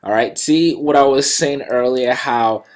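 Young guy doing a casual explainer to camera, medium pitched voice, chatting from a room at home. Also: a little slow. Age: young adult. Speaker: host, pilot. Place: small indoor room at home.

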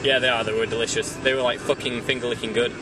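A man's voice: mid-range voice, level tone, fast. A young man in casual, crude, rambling banter, filmed inside a car at night on a handheld camera. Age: young adult. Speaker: vlog co-host. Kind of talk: casual chat. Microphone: handheld camera mic. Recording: distant mic, road noise.

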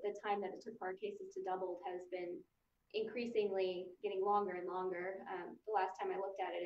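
A woman doctor explains her views in a measured, careful way, with small restarts. She sounds distant from the mic in an echoey room, with faint room noise and somewhat dull audio. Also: mid-range voice, darkish tone. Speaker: family doctor. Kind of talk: casual video interview.

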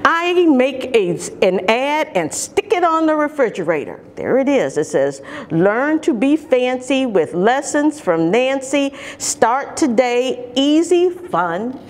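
A middle-aged woman reads a picture book aloud to young children, expressively and deliberately, drawing out key words, recorded indoors from a camera. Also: high-pitched. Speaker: storytime reader for young children. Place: large carpeted indoor lobby or lounge.

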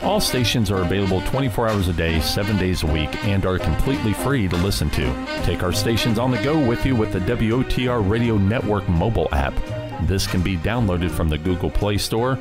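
A male podcast host delivers a scripted sign-off. His voice is on the low side, and music plays loudly behind him. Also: a little fast. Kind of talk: scripted outro narration. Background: loud music bed.

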